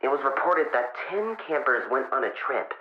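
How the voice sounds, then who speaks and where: A man voicing an eager, nervous young fox boy inventor who records a log in an audio drama, chatty and halting. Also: mid-range voice, sing-song, echoey room, dull audio, slight background noise, close to an everyday voice. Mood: earnest, explanatory. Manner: halting, self-interrupting, awkwardly chatty.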